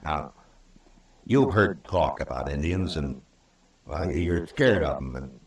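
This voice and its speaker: A calm, plain-spoken marshal in an old radio western. The voice is very low and measured, with patient skepticism. The vintage recording sounds roomy and a little distant. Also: male voice, creaky, heavily acted. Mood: calm, skeptical.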